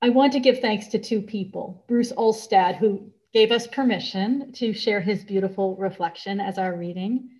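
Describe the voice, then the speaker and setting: A woman with an American accent giving a sermon to a congregation in measured, deliberate phrases with pauses. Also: mid-range voice, a little mellow, poor audio.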